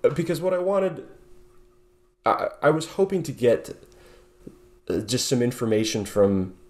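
A man in his forties from southeastern New York, a bass player as a guest, talking thoughtfully over a video call from a home room, with many restarts. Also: big pitch swings, a little slow, darkish tone. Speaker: guest bassist. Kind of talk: casual interview. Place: home room with guitars on wall.

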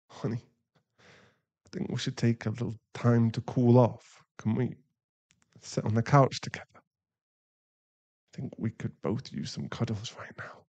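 A man performs a shaken, loving werewolf boyfriend in an intimate ASMR roleplay. His male voice sits at an average pitch with a gravelly creak. He speaks haltingly and unhurriedly, heavy with emotion and shame. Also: level tone, warm tone, lo-fi sound. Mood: tender, grateful. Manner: halting, emotional, pleading, with sighs and breathy drops into whispers.

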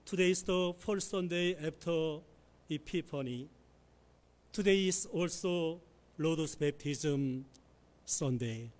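Slow, slightly husky preaching man. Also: on the high side, a little mellow. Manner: slow, measured preaching with careful phrasing. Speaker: pastor. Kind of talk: sermon. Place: church sanctuary, at the pulpit. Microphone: pulpit microphone.

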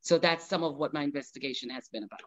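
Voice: mid-range voice, fast, no room sound. Guyanese accent, bishop, earnest panel speaker, video call, dull lo-fi sound, dry. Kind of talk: casual panel talk.

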